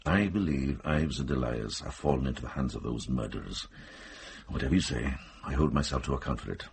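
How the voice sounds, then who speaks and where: An actor playing a wise, determined middle-aged monk and former soldier in a radio drama, measured, thoughtful and dryly understated. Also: male voice, very deep voice, slight background noise. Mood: resolute.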